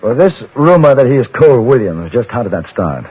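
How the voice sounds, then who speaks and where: An actor playing a polite, inquisitive English gentleman reporter with a British accent, formal and measured, in an old-time radio drama. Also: mid-range voice, very animated, husky. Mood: polite, probing. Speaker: actor and narrator in a radio western. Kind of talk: old-time radio drama, scripted first-person narration. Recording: dull old radio recording with music.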